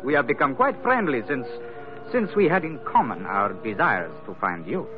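A man's voice: medium pitch, sing-song, music in the background, close to an everyday voice. Man playing a suave radio villain, swooping, tinny recording. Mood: smooth, persuasive. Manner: formal, polite, hesitant pauses. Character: suave, courteous male villain, smoothly polite rival. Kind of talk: 1940s radio acting.

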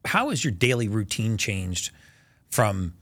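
A man hosting a podcast interview, casual and energetic, pressing home facts with emphasis. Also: on the low side, unhurried, dry recording. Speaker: podcast host. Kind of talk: conversational interview.

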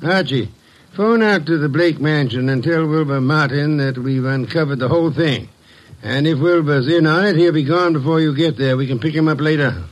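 Courtly, higher-voiced detective boss played by a man. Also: lightly acted, poor audio. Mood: measured, commanding. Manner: formal, precise, deliberate speech. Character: shrewd, courtly private detective boss, male. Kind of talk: old-time radio detective drama acting.

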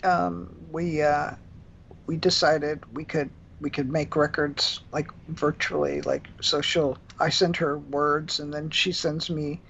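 A man who is a musician guest on a radio show, answering haltingly with many ums. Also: fairly high pitch, flat delivery, vocal fry, a bit bright. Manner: hesitant, halting, full of ums. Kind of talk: casual radio interview answers. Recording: dull lo-fi line with faint noise.

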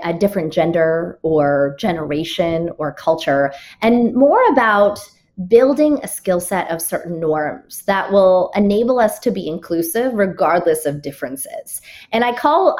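A woman author, a guest on a podcast, laying out her rules point by point and backing each with a story. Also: lowish voice. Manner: structured, explains with examples and numbered rules; occasional restarts and fillers. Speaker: author and keynote speaker, guest. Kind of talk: podcast interview answers.

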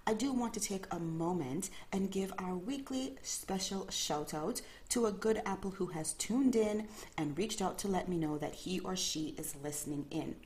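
Low-voiced woman hosting a faith podcast. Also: expressive, normal speed, hardly any pauses, darkish tone, slight background noise. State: early-morning voice, a bit calmer than usual. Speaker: podcast host.

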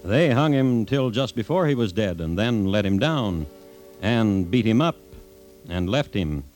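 Male storyteller host, 1950s radio crime drama, measured literary delivery, clear music bed. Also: on the low side, animated, a little mellow, low-quality recording. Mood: grave, wry. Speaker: host and narrator.

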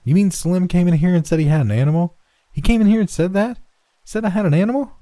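A man puts on a young boy from a storybook, pitched well above a grown man's talk. The boy is nervous and defensive, blurting halting, indignant protests in a very dry recording. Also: mellow, husky, no echo.

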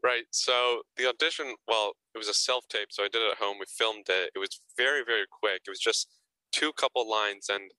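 A man's voice: on the low side, fairly even intonation, fairly quick, pushed and bright, clean tone, no echo, poor audio. A young man being interviewed as an actor guest, answering casually and hesitantly, often repeating words and adding fillers.

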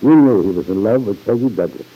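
An actor playing an earnest, middle-aged fatherly uncle in an old-time radio drama, gravely reproving in measured, formal phrases. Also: on the low side, lively intonation, highs cut off. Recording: vintage radio recording.

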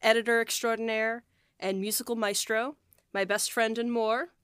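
A woman's voice: on the high side, level tone, normal speed, fairly bright tone, clean tone, dry recording, clean studio sound. A woman hosting a podcast in casual chat, with a playful, bantering way of talking. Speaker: podcast host.

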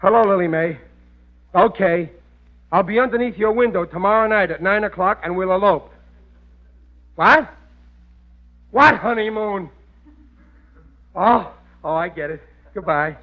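An actor playing a good-natured, gullible, middle-aged working-class American husband who blusters ungrammatically in a 1940s radio comedy. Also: male voice, high-pitched, a little slow, pauses often. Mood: bewildered. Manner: blustery, ungrammatical everyman talk. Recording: muffled vintage radio recording.

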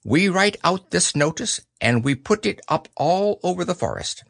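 A man's voice: sits high, animated, normal speed, gravelly, fairly bright tone, no echo, studio quality, voice pushed up, full character voice. A man voicing a pompous, wordy, self-important owl in a storybook reading. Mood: proud, fussy. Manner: pompous, long-winded. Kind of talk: storybook character voice.

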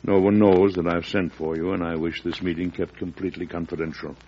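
An actor playing a fatherly, principled early American president in old-time radio drama, speaking with measured earnestness that turns oratorical when roused. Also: low voice, flat delivery. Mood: calm, conciliatory. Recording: dull, noisy vintage radio recording.

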